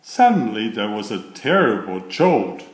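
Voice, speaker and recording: A man reading a children's story aloud in a deliberate, expressive storytelling manner, with a roomy sound. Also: on the low side. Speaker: storybook narrator. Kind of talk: children's read-aloud narration.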